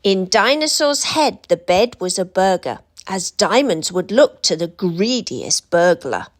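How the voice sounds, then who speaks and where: British lady reading a kids picture book, really slow and expressive, voice goes up and down a lot. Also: medium pitch. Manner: deliberate, dramatic rhyming delivery for young listeners. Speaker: storyteller. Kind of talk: expressive children's picture book read-aloud.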